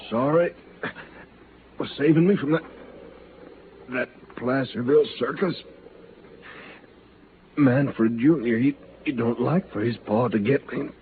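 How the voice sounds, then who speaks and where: A mid-pitched male voice from an actor as a weary, rueful western gunman who speaks in a halting way. It is vintage radio drama, muffled and narrow with faint noise. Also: lightly acted. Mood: weak, rueful. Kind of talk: old-time radio western acting.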